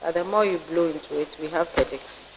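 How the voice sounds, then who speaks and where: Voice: mid-range voice, a little fast, noisy background. A vetting panelist, asking blunt, probing questions and giving critical remarks. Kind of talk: interview questioning.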